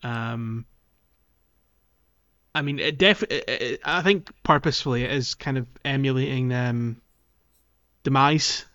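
A man's voice: mid-range voice, measured pace, pauses often, sharp tone, muffled recording. A man hosting a casual gaming podcast, talking in an enthusiastic, geeky way. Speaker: podcast host. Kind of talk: casual game discussion podcast.

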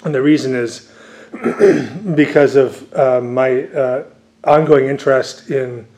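A man's voice: medium pitch, slow pace, darkish tone. A man who grew up speaking Dutch, a visiting scholar and author answering interview questions at length, with many fillers and restarts.

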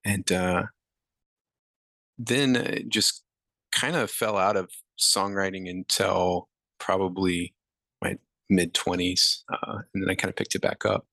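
A man in his thirties, a musician guest, answering interview questions in a relaxed, rambling way with many fillers. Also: low-pitched, takes it slowly, creaky, dry recording.

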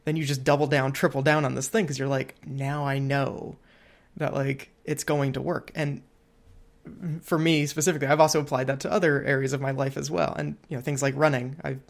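A man's voice: medium pitch, flat delivery, a little fast, creaky. A man, perhaps in his thirties or forties, talking casually on a podcast, thinking aloud in a rambling way and acting out imagined quotes. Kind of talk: casual conversational podcast chat.

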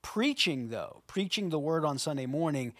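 A man in his forties with a bright, crisp voice and a lively, swinging pitch. He talks emphatically as a pastor co-host in casual chat, and the sound is dry and close to the mic. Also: moderate pace, no echo. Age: 40s.

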